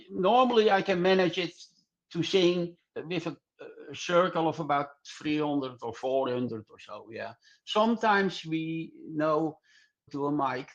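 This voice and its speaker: Halting older man, muffled audio. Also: on the high side. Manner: halting, repetitive non-native English. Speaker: podcast guest, club singer. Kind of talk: casual interview answers.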